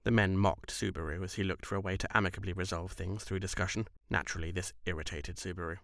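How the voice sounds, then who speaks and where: Quick, low-voiced male story narrator. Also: fairly even intonation, vocal fry, a bit bright, no echo, studio quality. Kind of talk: scripted audiobook-style story narration.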